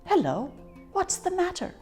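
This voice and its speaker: A woman's voice: extremely high pitch, huge pitch swings, unhurried, darkish tone, soft background music, pitched above the performer's own voice, exaggerated voice. A woman voicing a helpful, carefree little boy in a children's storybook, chirpy and emphatic. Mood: curious. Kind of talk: children's storybook character reading.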